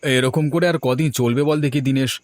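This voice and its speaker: Downtrodden cartoon labourer male actor: lamenting, weary words delivered quickly in an average-pitched voice. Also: fairly even intonation, close to an everyday voice. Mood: worried. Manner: fretful, lamenting. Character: weary, downtrodden village labourer man, worried breadwinner. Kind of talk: cartoon dialogue.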